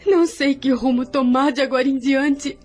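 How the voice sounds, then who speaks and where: A woman's voice: high voice, steady tone, moderate pace, darkish tone, faint music behind, lightly acted. An actress playing a devoted, emotional wife and mother in an audio drama, with a Brazilian accent and an earnest, pleading manner. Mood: tearful despair. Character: devoted wife, then grieving, despairing widowed mother. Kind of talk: melodramatic audio drama acting.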